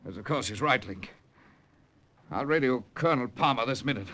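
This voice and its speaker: An actor playing a stubborn, hot-headed Allied agent, argumentative and emphatic, in a wartime radio drama. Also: male voice, medium pitch, lively intonation, normal speed, bright, forceful tone. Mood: accusing. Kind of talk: 1940s radio acting.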